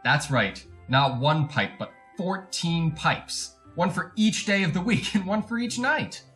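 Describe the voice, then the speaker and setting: A man's voice: a little high, expressive, unhurried, bright, forceful tone, faint music behind. Fussy, creepy salesman, wildly swooping delivery. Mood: gloating, sinister. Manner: fussy, over-polite, wordy, theatrical. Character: chatty, creepy encyclopedia salesman, widower-like, bullying his dog. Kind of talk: retro 1940s radio drama monologue.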